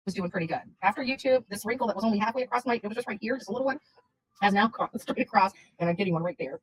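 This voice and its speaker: A woman's voice: medium pitch, racing, breathy edge, rough recording. A woman chatting casually on a livestream in a blunt, rambling, insistent way.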